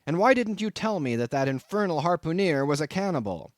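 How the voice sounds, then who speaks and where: A man playing an anxious, excitable lodger in a storybook, shouting and exclaiming. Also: fairly high pitch, fairly quick, no echo, studio quality, voice pushed up, close to an everyday voice. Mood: indignant.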